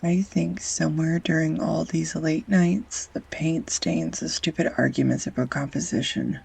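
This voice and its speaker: Husky, slow-talking flustered young woman, acted. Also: deep voice, heavily acted, low-quality recording. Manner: halting, wry, flustered, sighs and soft laughs. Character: grumpy sarcastic young art student, shyly confessing love. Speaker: voice actor. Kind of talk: intimate romantic audio roleplay.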